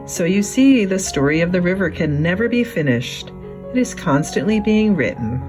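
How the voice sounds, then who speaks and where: A woman reading a picture book aloud as a children's librarian, phrasing it expressively and deliberately for young listeners. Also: fairly low pitch, sing-song, darkish tone, faint music behind.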